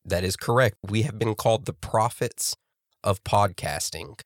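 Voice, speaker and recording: Congested deep-voiced male podcast host. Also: a little slow, no echo, studio quality. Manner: relaxed, jokey, laughs often. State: congested from a cold. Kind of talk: casual podcast chat. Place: studio across from co-host.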